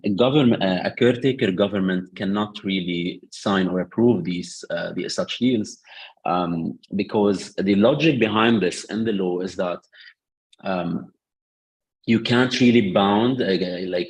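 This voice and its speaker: A man with a very low, husky voice speaks on a panel. He answers with steady thought, stumbling and restarting often around fillers. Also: rough recording. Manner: hesitant, many fillers and restarts.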